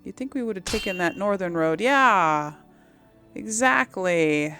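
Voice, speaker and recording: A woman's voice: mid-range voice, animated, measured pace, bright, forceful tone, faint music behind. A woman giving casual, chatty video game commentary, thinking aloud as she plays.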